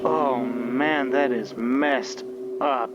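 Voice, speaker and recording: A man's voice: medium pitch, steady tone, drawn-out words, muffled recording, loud music behind the voice. A man giving casual, off-the-cuff commentary as he plays and reviews a game. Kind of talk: casual let's play commentary.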